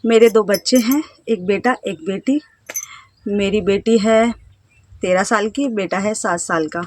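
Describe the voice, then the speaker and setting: A woman in her thirties from India, a housewife telling her life story to camera in a halting, hesitant way. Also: medium pitch, a little mellow. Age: 30s. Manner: hesitant, halting, with self-conscious laughs and trailing phrases. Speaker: vlogger, housewife. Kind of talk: casual personal storytelling to camera.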